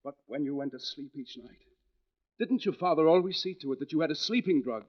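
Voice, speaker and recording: Radio mystery role: intense accusing male played by a man, fairly high voice, deliberate theatrical delivery, muffled vintage sound. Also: lightly acted. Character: intense, accusing man with cold, insistent menace. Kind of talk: 1940s radio mystery acting. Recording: dull vintage 1940s radio recording.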